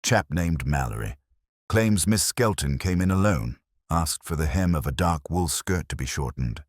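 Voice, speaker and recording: A man's voice: a bass voice, expressive, normal speed, dry recording, clean studio sound. A man voicing a dutiful police sergeant in an audiobook mystery, reporting plainly and deferentially with a mildly wry touch.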